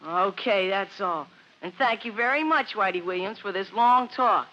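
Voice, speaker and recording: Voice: medium pitch, moderate pace, pushed and bright, natural voice. Actor as bossy schoolboy chairman, piercing voice, exasperated, vintage radio drama, dull recording. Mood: sarcastic. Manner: officious, sarcastic. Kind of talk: 1950s radio drama acting. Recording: muffled old radio recording, faint noise.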